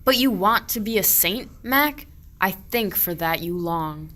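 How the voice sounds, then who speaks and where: A woman's voice: mid-range voice, lively intonation, unhurried, fairly bright tone. A woman playing a suspicious, mocking and weary shepherd in a medieval radio play, declaiming archaic verse.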